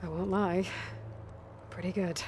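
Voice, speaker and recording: An actress playing a confident, pragmatic young heroine in a video game, plain-spoken and direct. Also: female voice, fairly low pitch, fairly even intonation, unhurried, background noise, natural voice. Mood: candid, casual. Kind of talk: video game character dialogue.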